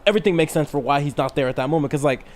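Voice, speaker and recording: A man's voice: on the high side, racing, darkish tone. A man in his twenties chatting casually as a podcast co-host, rambling through explanations in slangy language. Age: 20s. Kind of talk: casual chat about anime and manga.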